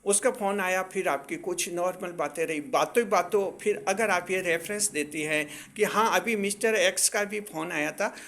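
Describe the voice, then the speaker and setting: A man's voice: sits high, normal speed, hardly any pauses, fairly bright tone. A man presenting astrology advice to viewers in a didactic way, repeating and rephrasing his points for emphasis.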